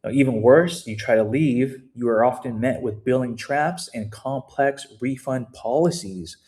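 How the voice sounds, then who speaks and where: A man's voice: mid-range voice, unhurried, a little mellow. A man from Canada with a Canadian accent, a fitness coach giving an unscripted video walkthrough in a conversational, digressive way, talking straight to his viewers.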